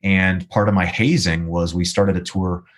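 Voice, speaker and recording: A man with a naturally low baritone voice tells a winding story, stopping and restarting his sentences often. He is a guest musician in a casual interview. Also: normal speed. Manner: rambling, animated storyteller with many restarts.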